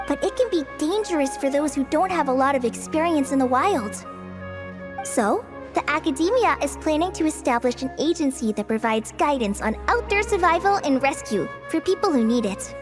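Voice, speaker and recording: A woman's voice: sits high, expressive, normal speed. A woman playing a modest, earnest teenage girl ranger with a light, youthful, hesitant and polite delivery in an anime game dub. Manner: hesitant, self-effacing, polite. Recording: music playing behind the voice.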